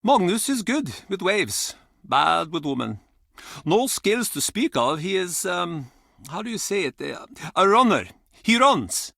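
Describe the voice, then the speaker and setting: A gruff old fisherman from an adventure game. His voice sits high and swings widely, with blunt, theatrical, halting turns of phrase. He comes across as embittered and wounded, in a dry, close recording. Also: sing-song, sharp tone, no room sound, heavily acted. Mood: wry. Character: gruff, embittered, grieving old Icelandic fisherman and pub regular. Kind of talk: video game character acting.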